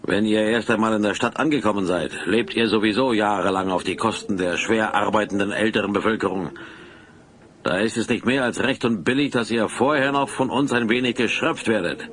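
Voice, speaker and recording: An actor playing a self-righteous rebel highwayman in a German audio drama, declamatory and menacing. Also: a little fast, a bit bright, close to an everyday voice. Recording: noisy old cassette audio drama.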